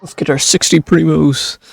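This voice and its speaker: Guy streaming a game, super creaky husky voice, offhand comments, roomy sound. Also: on the high side. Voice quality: gravelly, husky. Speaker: streamer playing and reacting. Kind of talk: casual gameplay commentary, reading text aloud. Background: faint game music.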